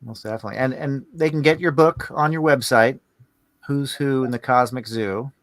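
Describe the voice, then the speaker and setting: A man hosting a podcast in casual conversation, agreeable and somewhat halting as he forms his thoughts. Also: medium pitch, fairly bright tone, no room sound. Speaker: podcast host.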